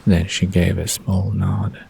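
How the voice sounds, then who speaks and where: A man narrating a scripted bedtime audio drama in a husky, gravelly, hushed storytelling voice. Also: low-pitched, flat delivery, slow pace.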